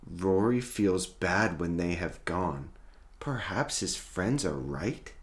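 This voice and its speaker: A man reading a children's storybook aloud as host, with expressive, dramatic delivery and drawn-out key words. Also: low-pitched.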